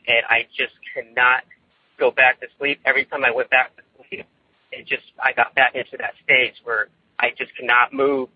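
A young man, calling in by phone and telling a personal story in a casual, rambling, nervous way, often halting and repeating himself. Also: medium pitch, breathy edge, no room sound. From California, United States. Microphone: phone line. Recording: tinny, boxy phone call.

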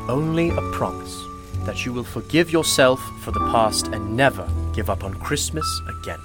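A patient, wise man of mystery, played by an actor, keeper of a magical shop in a radio drama. His mid-pitched voice is formal, measured and courteous, with loud music swelling behind it. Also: expressive, close to an everyday voice. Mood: knowing, kindly. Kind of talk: radio play acting.

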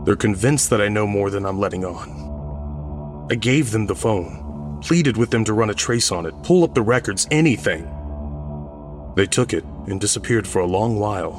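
An actor playing a shaken, guilt-ridden survivor, narrating a horror story in the first person with intense, weighted phrasing. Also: male voice, on the low side, expressive, normal speed, over music, natural voice. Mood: bewildered, grim. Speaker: audio fiction narrator.

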